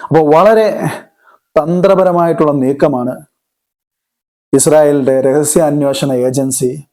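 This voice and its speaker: A man explains current affairs as a commentator, deliberately, repeating words for emphasis. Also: on the high side, dark tone. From Kerala, India.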